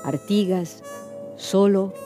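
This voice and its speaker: A woman's voice: mid-range voice, drawn-out words. A woman giving dramatic, solemn scripted narration for a radio play. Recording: clear music behind the voice.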